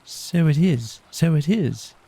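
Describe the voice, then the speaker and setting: A man's voice: on the high side, expressive, takes it slowly, mellow, husky, voice pushed up, heavily acted. A man voicing a polite, candid little storybook mole with an earnest, cautious and agreeable manner. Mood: soothing. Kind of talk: storybook character voice in an audio play.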